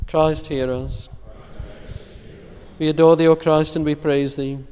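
A man solemnly reciting psalms, litanies and prayers in a measured, reverent, formal way as a prayer leader. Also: on the high side, flat delivery, warm tone. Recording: long echoing church-like reverb.

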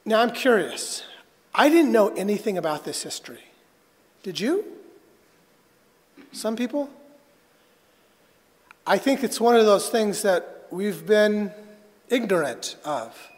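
Male pastor, high-pitched voice, sermon storytelling, earnest halting delivery, dramatic pauses, long hall reverb. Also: expressive, darkish tone.

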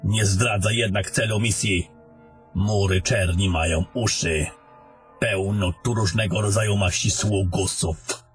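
A man playing an old, haughty, sardonic wizard in a fantasy audio drama, lecturing in a deliberate, grumbling manner over music. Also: lowish voice, steady tone, lightly acted. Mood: commanding. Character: old haughty sardonic male wizard, gruff mentor to a soldier.

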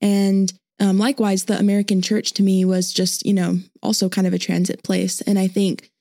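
Young woman student guest on a podcast, bit of vocal fry, relaxed and thoughtful. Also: medium pitch, moderate pace, no echo, clean studio sound. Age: young adult. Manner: relaxed and thoughtful, some ums. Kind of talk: podcast interview answers. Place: studio.

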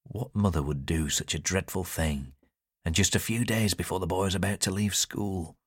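A man's voice: low voice, normal speed, slightly rough, no echo, studio quality. A man voicing an older man in an audiobook, stern but kindly and reflective. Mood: indignant, pitying. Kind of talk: audiobook character dialogue.

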